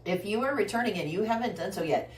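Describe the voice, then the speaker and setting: A woman's voice: medium pitch, lively intonation, quick pace, roomy sound, distant mic, a little hiss or hum. A woman host giving a casual weekly tarot reading, congested from a flu, rambling with many fillers and restarts. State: congested, recovering from flu, coughing. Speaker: tarot reader, channel host.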